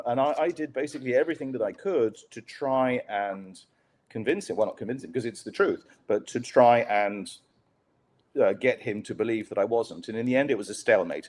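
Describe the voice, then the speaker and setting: A man from Pakistan, a journalist guest telling stories in a relaxed interview, with wry, dry humor and lots of fillers and restarts. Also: lowish voice. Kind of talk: conversational storytelling on a podcast interview.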